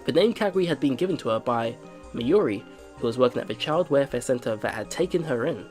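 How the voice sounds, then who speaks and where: A man's voice: mid-range voice, animated, fast talker, darkish tone. A man hosting a let's play, reading visual novel narration aloud and adding informal, exclaiming reactions. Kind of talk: reading game narration aloud with casual commentary. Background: game music.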